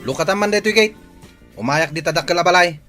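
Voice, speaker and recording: Bossy, impatient suitor in radio drama. High, forceful, curt, swinging pitch. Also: male voice, brisk, soft background music. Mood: demanding. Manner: demanding, curt. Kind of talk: Filipino radio drama acting.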